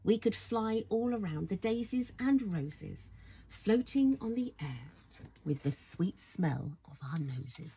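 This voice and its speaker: A woman reads a children's bedtime story aloud in a soft, husky voice, affectionate and playful, full of wonder. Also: mid-range voice, lively intonation, slow. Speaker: storybook reader.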